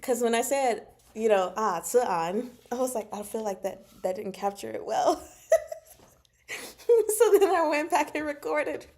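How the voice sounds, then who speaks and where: A woman hosting a casual book discussion, speaking from notes in an animated, giggly, self-interrupting way. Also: on the high side, a little slow. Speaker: host of a book discussion show. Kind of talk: casual fan discussion, reading from notes and comments.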